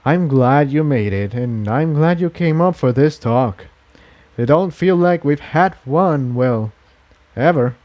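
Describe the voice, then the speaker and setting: A man's voice: fairly high pitch, lively intonation, slow, highs cut off. A man voicing a weary, earnest engineer pony in a storybook reading, talking in a reflective, confiding way.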